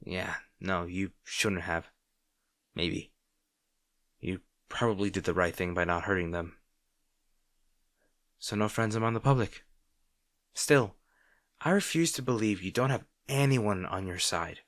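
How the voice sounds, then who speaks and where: A man playing a snarky supervillain rival who secretly cares, in a dramatic roleplay monologue, teasing and sardonic, halting when flustered. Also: deep voice, fairly bright tone, no echo, clean studio sound. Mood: softer, concerned. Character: snarky male supervillain rival, secretly worried about his hero.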